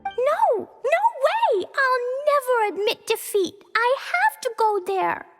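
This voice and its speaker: A cute little girl from a video game, with a very high, childlike voice. She speaks slowly and earnestly, halting over big words, with light music behind her. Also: very animated, a bit bright, heavily acted. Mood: determined, pleading. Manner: childlike, refers to self in third person, halting over hard words. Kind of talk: video game character voice acting.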